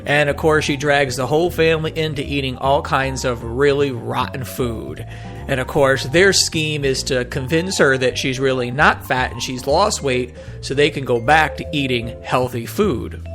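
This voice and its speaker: A man's voice: medium pitch, moderate pace. A man hosting an old-time radio program with a casual, conversational introduction. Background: light guitar music.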